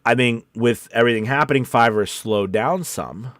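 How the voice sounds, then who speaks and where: A man's voice: mid-range voice, normal speed, fairly bright tone, dry recording, clean studio sound. A man hosting a casual livestream as a voice-over coach, talking in an informal, rambling and encouraging way. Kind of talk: casual livestream chat, reading viewer comments.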